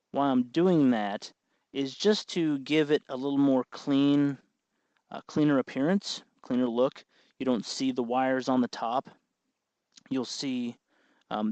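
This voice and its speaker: A dry, slightly dull recording of a man with a mid-pitched voice. He hosts a hands-on tutorial in an informal style. He explains things step by step, as if to viewers at home. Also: a little mellow, dry recording. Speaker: tutorial host. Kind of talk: casual hands-on electronics tutorial.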